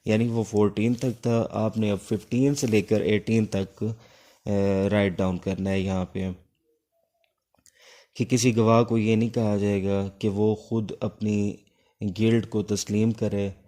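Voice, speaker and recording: Man, Pakistani accent, unhurried lecturer, dark relaxed tone, instructive, repetitive on key points. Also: lowish voice. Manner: instructive, repeats key points, switches between Urdu and English. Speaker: law lecturer. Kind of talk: exam-focused lecture, explaining and dictating.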